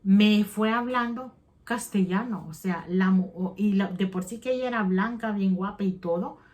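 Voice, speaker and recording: A woman's voice: mid-range voice, normal speed, darkish tone. A middle-aged woman, chatting casually to the camera for her vlog, rambling and often restarting her sentences as she talks straight to her viewers. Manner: rambling, chatty, self-correcting; addresses viewers directly. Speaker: vlogger.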